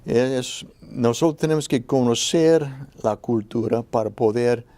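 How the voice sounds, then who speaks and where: A man's voice: medium pitch, a little slow, dark tone. A man speaks on a church conference panel with a mid-range voice. He often stops and restarts his sentences. The sound is close and dry, with no room echo. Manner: hesitant, frequent restarts. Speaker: panelist, preacher. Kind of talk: panel discussion.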